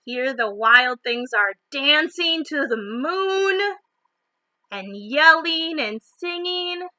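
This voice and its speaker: High-voiced woman reading a children's story slowly. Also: animated, no echo. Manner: dramatic, emphatic phrases alternating with hushed ones. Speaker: storyteller reading a picture book aloud. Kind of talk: expressive children's read-aloud.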